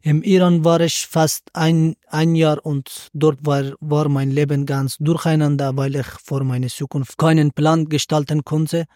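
Earnest young man, mellow voice. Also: fairly high pitch, level tone, a little slow, few pauses, no echo, clean studio sound. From Afghanistan. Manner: earnest, reads prepared sentences with some fillers. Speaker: student guest. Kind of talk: school podcast interview.

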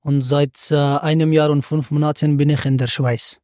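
A man's voice: fairly high pitch, flat delivery, moderate pace, darkish tone, no echo, clean studio sound. A man, a student guest giving earnest, prepared answers on a school podcast. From Afghanistan. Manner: earnest, reads prepared sentences with some fillers.

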